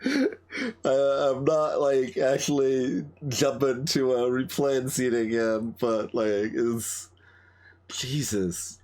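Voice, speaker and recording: A man's voice: medium pitch, slow pace, darkish tone. A man who streams games, talking casually as he plays and comments. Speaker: game streamer. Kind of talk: casual game commentary and reading dialogue aloud.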